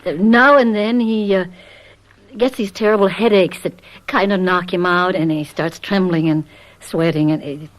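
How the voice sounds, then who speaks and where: A woman's voice: on the low side, fairly even intonation, normal speed, lightly acted, rough recording. An actress playing a meek, worried wife who protects her husband, hesitant and timid, in a radio mystery drama. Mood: nervous, defensive.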